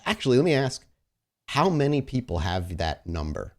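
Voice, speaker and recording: A man's voice: medium pitch, sing-song, moderate pace, darkish tone. A man speaking casually as host and game master of a tabletop roleplaying podcast, chatting and setting scenes. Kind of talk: casual chat moving into improvised scene narration.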